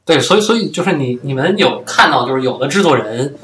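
A man's voice: mid-range voice, expressive, fast talker, a bit bright. A man co-hosting a podcast in casual conversation. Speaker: podcast co-host. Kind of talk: casual chat. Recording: roomy, echoing sound.

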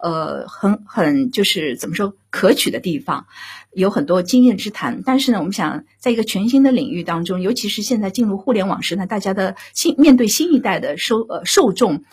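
Female director, live discussion. Heavily husky, lively intonation, pauses to find her words. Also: mid-range voice. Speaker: radio drama director.

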